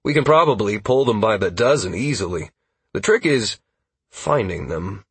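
A man's voice: lowish voice, expressive, moderate pace, husky, no room sound, exaggerated voice. A man playing a wry, cocky male party leader in a fantasy audiobook, with a dry, teasing manner. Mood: casual.

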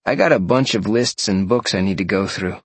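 Man playing a casual young guy, low-voiced. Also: brisk, slightly rough, no echo. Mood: candid, wary.

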